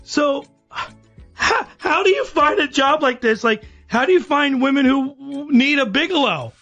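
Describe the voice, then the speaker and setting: A man's voice: extremely high pitch, lively intonation, measured pace, bright, forceful tone, soft background music. A man asking questions casually over lo-fi phone audio, stammering and repeating himself in disbelief. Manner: stammering, incredulous, repetitive.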